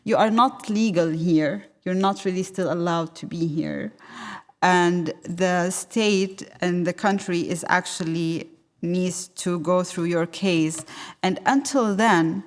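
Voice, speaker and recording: A woman from Syria speaks on a panel in a large hall. Her voice sits fairly low, and she talks with animation, laughing often and pausing to find the right words. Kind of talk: panel discussion.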